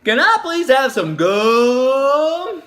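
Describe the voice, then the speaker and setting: A woman's voice: high-pitched, sing-song, fairly bright tone, pitched above the performer's own voice, full character voice. A woman voicing a whiny little girl who drags out her pleas for treats. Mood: pleading. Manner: pleading, drawn-out begging.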